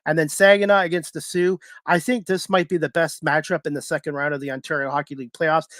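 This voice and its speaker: Male sports show host, slightly high voice, dry close recording. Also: fairly bright tone, no echo. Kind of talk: sports talk interview.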